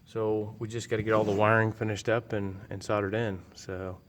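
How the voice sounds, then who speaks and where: A man giving a casual, unscripted walkthrough as an aircraft owner, rambling and halting in a folksy way. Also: on the low side, little pitch movement, slight background noise. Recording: long hall-like reverb.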